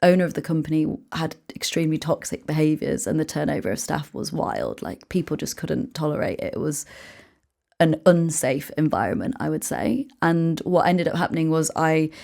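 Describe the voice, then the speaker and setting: A woman from England speaks in a notably low voice. She is a guest in a relaxed interview, telling brisk, lively stories full of "like" fillers. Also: creaky. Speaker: guest, founder and author. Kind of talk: casual interview chat.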